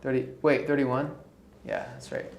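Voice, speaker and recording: A man's voice: medium pitch, wildly swooping pitch, normal speed, a little hiss or hum. Casual male podcast co-host, thirties, filler-heavy delivery, roomy sound. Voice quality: voice tires and goes hoarse easily. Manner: casual, says "like" and "dude" often. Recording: echoey room.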